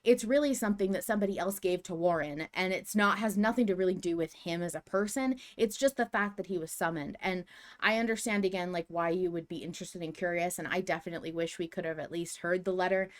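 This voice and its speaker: A woman's voice: on the low side, fast. A woman co-hosting a podcast in casual discussion, speaking in an earnest, emphatic way. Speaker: podcast co-host.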